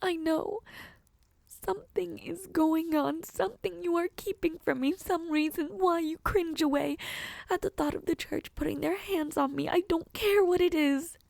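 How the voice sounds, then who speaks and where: A woman playing a gravely ill young princess in an audio drama, gentle but resolute, with soft, breathy, formal and pleading speech. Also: extremely high pitch, fairly even intonation, normal speed, no room sound. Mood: anguished, weary. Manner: earnest, formal storybook diction. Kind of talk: audio roleplay drama acting.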